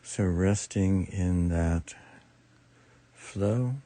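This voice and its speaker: A man leading a guided meditation as teacher, speaking soothingly in short, unhurried phrases with long pauses. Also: low-pitched, warm tone. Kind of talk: guided meditation, improvised soft instruction.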